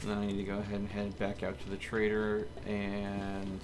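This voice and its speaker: A man's voice: on the low side, monotone, moderate pace, background noise. A man chatting casually as a game streamer, with playful, joking asides. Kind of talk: casual gaming livestream chat.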